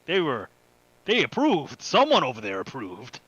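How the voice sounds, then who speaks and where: A man co-hosting a podcast, chatting casually in a halting, informal, slangy way. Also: mid-range voice, huge pitch swings, fairly bright tone, husky, no room sound, highs cut off. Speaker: podcast co-host. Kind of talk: casual chat about video game movies.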